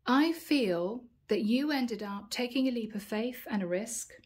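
A woman reading tarot cards at home and talking casually to her viewers, her voice a little hoarse from a long day. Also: fairly high pitch, lively intonation, unhurried. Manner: chatty, warm address to viewers, frequent "guys" and "okay". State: slightly hoarse, losing her voice. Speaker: tarot reader. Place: indoor home room, table with plants.

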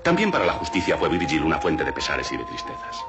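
Deep, solemn documentary narrator. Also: male voice, huge pitch swings, fast talker, over loud music, heavily acted. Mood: mock-solemn. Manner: solemn, mock-grave newsreel delivery. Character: solemn male documentary narrator with mock-grave gravity. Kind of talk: scripted mock-documentary film narration.

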